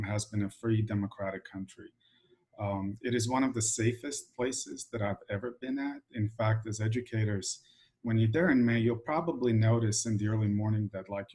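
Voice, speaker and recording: A middle-aged man from Croatia, chatting in a relaxed, filler-heavy way as a guest at an info session. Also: lowish voice, husky, low-quality recording. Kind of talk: casual informative talk.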